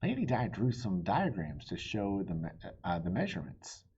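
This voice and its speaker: Husky, animated male storybook reader. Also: low-pitched, moderate pace, highs cut off. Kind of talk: expressive children's read-aloud narration.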